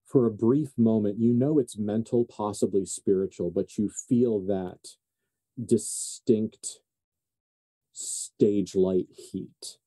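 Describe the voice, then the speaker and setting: A man running a tabletop horror game as game master, improvising narration in deliberate, halting, dramatic phrases with music playing behind him. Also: lowish voice, fairly even intonation, dark tone.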